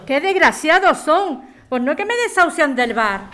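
A woman playing an expressive bar keeper in an amateur radio soap, with an accent from Spain. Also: high-pitched, normal speed, heavily acted. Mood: outraged. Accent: Spanish, from Spain. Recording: very echoey room, background noise.

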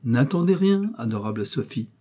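A man's voice: medium pitch, lively intonation, normal speed, dark tone, husky, pitched above the performer's own voice. A man voicing a fawning young male suitor who makes gushing, theatrical declarations of love in an audiobook reading. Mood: gushing, pleading.

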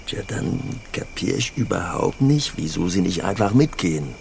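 A man's voice: low voice, expressive, moderate pace, vocal fry, a little mellow, slight background noise, full character voice. An actor playing a pushy rural youth in a radio drama, talking colloquially and insistently as he courts and brags. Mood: puzzled, pressing. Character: pushy rural youth clumsily courting, boasting of his money. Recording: outdoor night ambience with crickets. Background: crickets, night insects.

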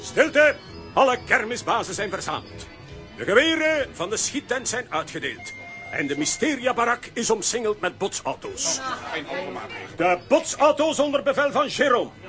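A man playing a bossy, excitable, pompous comic hero giving orders in a children's audio drama. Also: very high-pitched, moderate pace, over music, a crowd in the background. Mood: pompous, commanding. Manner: pompous, commanding.

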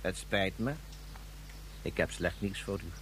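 An actor playing a formal, officious minister of a tribe in a Dutch science fiction radio drama, stately and courteous in phrasing. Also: male voice, sits low, fairly even intonation, measured pace, fairly bright tone, a little hiss or hum. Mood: grave, apologetic.

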